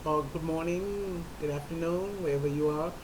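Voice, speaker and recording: A comedian, a man with a high voice for a man, telling a personal story in an earnest, halting way with frequent restarts. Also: slight background noise, rough recording. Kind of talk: personal talk to camera.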